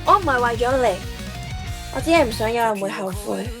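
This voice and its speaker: Very high-pitched spirited schoolgirl played by a woman. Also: expressive, fairly quick, music in the background. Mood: guarded, caring. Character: spirited, caring teenage schoolgirl. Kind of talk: youth radio drama acting.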